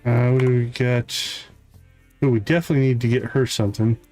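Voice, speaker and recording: A man's voice: medium pitch, steady tone, brisk, a little mellow, soft background music. A man giving casual live gameplay commentary as a streamer, thinking aloud as he goes. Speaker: game streamer, host.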